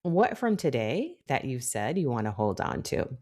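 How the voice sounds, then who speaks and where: Interviewer, curious, lively pitch, short open questions. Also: moderate pace.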